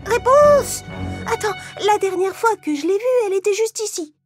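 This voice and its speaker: A woman voicing a tiny, girlish, excitable companion of a princess in a children's cartoon fairy tale. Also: extremely high pitch, moderate pace, over music, voice pushed up. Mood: anxious.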